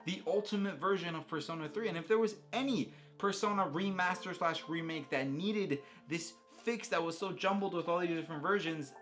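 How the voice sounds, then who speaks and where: A man giving casual, informal commentary on a video game as a reviewer, animated and given to rambling asides. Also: high voice, fairly quick, hardly any pauses, soft background music.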